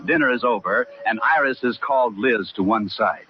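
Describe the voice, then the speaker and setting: A man's voice: lowish voice, moderate pace. An American man announcing a 1940s radio comedy and setting the scene. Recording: muffled 1940s radio, loud music behind.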